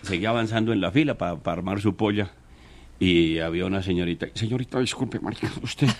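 A man's voice: low-pitched, creaky, a little hiss or hum. A man telling a rambling joke on a live comedy radio show, laughing at his own punchlines.

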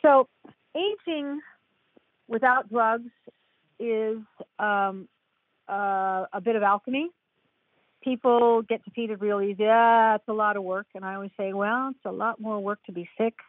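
A woman's voice: medium pitch, a little slow, long pauses, no echo. Older woman on a tinny call line. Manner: chatty, frank, laughs easily. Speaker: guest, author. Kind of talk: casual interview chat. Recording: dry, tinny phone-like line.

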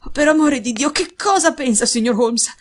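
A woman's voice: high voice, normal speed, slight background noise, voice pushed up, lightly acted. A woman reader voicing a distraught, burly husband, earnest and emphatic, in an audiobook story. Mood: distraught, imploring. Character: distraught, burly rugby-playing husband and master.

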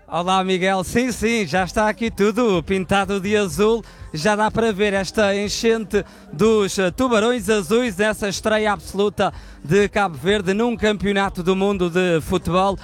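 High-voiced male field reporter. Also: measured pace, soft background music. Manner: lively, chatty. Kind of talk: live outdoor report and vox pop. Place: outdoor fan gathering on a quay.

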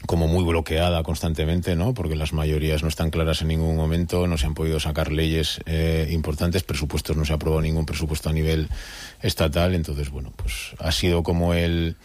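Very deep, flat male guest, hesitant and filler-heavy, dry close sound. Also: fairly quick, dry recording. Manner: hesitant, thinking aloud, many fillers. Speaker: neighborhood federation president. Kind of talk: radio interview guest.

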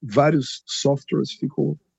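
A man's voice: slow, vocal fry, breathy edge, no echo, highs cut off. A Brazilian man hosting a radio music show from his home studio, chatting casually and joking as he introduces songs. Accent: Brazilian Portuguese. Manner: chatty, joking, lively pitch swings.

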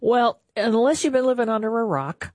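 A woman's voice: fairly high pitch, lively intonation, moderate pace, a little mellow, dry recording. A woman co-hosting a radio talk show, chatting conversationally as she plugs what is coming up. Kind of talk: conversational show promo chat.

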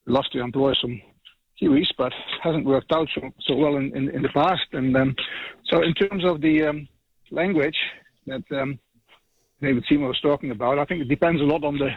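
A man's voice: medium pitch, no echo. A middle-aged man with a Dutch accent calling in to a radio show, talking in a hesitant, casual way with many ums and restarts. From Netherlands. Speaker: caller, farmer. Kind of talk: casual phone-in chat. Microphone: telephone. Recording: tinny husky phone line.

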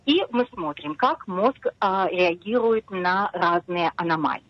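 A middle-aged woman scientist explaining her research patiently on a radio interview, often pausing on hesitations. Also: on the low side, animated, dry recording. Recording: dull dry radio studio sound.

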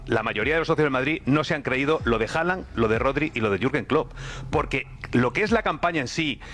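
Male sports pundit, Castilian accent, medium pitch, builds arguments with lists and restatements. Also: expressive, fairly quick, a bit bright, a little hiss or hum. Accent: Castilian Spanish from Spain. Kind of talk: unscripted football debate.

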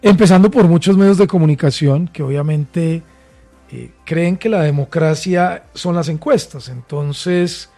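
A Colombian man making his case in a debate, stacking repeated phrases for emphasis. His voice is fairly high for a man yet mellow and dark in tone. Faint music plays behind. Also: a little slow. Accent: Colombian Spanish. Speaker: presidential candidate, former minister. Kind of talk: political debate.

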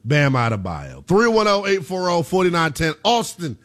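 A man in his twenties hosting a call-in sports talk show, animated and quick to laugh. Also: fairly high pitch. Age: 20s. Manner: animated, laughs easily, talks back to callers. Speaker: sports talk radio host.

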